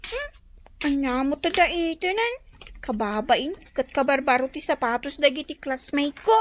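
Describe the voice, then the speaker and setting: An actress playing a spoiled, boastful schoolgirl daughter in a radio drama, whiny and excitable, with an Ilocano Filipino accent. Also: high voice, unhurried, slight background noise.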